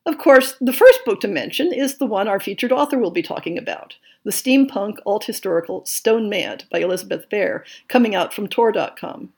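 A woman hosting a podcast, reading a scripted monthly update in a measured way. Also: medium pitch, expressive, breathy edge. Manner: measured, reads lists of titles and blurbs. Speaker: podcast host.

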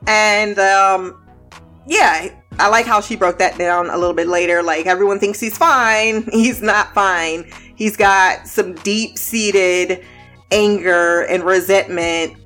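A woman's voice: medium pitch, fairly even intonation, takes it slowly, fairly bright tone, faint music behind. Chatty, blunt woman host. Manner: chatty, blunt, swears freely, laughs often. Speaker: podcast host. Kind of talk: casual review podcast.

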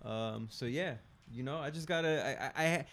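A man appearing as a guest comedian, talking casually in halting starts and repeated words. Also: medium pitch, a bit bright. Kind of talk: casual chat.

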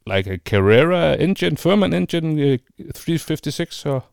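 A man's voice: a little high, very animated, moderate pace, creaky, darkish tone, clear, resonant tone, dry recording, studio quality. A man hosting a casual interview, feeling his way through questions with hesitant, repeated phrasing. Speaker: podcast host.

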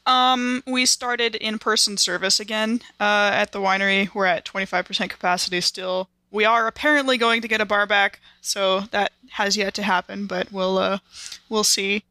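A woman's voice: mid-range voice, fairly even intonation, moderate pace, sharp tone, no room sound. A woman who is a podcast regular, talking casually and recounting a story in the first person.

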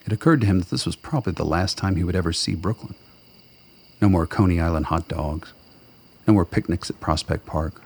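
A man with a very deep, husky voice narrates a radio drama. His scripted delivery is measured and faintly wry, in a storytelling style. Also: a little mellow.

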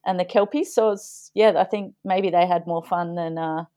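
A woman's voice: fairly low pitch, steady tone, normal speed, warm tone, dry recording, clean studio sound. A woman co-hosting a sports nutrition podcast, chatting casually and laughing often. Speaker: podcast co-host.

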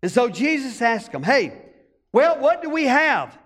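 A man's voice: extremely high pitch, very animated, measured pace, fairly bright tone, long reverb tail. A male pastor with an American country accent, preaching a folksy sermon and calling on the congregation to answer back. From rural United States. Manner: folksy, storytelling preacher asking the congregation to respond.

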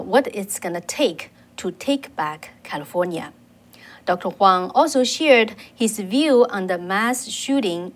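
A woman, hosting and narrating a political segment in a measured way, with frequent fillers. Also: mid-range voice. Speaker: interviewer and host. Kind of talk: scripted news commentary.

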